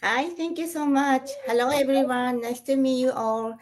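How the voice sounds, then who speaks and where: A woman's voice: high voice, moderate pace, crowd noise. A woman from Japan, a guest, introducing herself politely with some hesitations. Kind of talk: self-introduction in a live chat.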